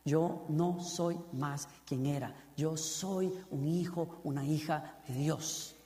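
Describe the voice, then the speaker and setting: A high-voiced man with a Costa Rican Spanish accent preaching a sermon. He drives his points home with repeated phrases and asks the congregation direct questions. His voice rings out with a long reverb in a large hall. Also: steady tone, slow, mellow. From Costa Rica. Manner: building to fervent emphasis with repeated phrases.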